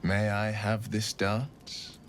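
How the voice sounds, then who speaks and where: An actor playing a courtly, romantic young adventurer in a fantasy video game, tender and bashful, with gallant, poetic phrasing. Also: male voice, lowish voice, monotone, slow, a little hiss or hum. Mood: charmed, tender.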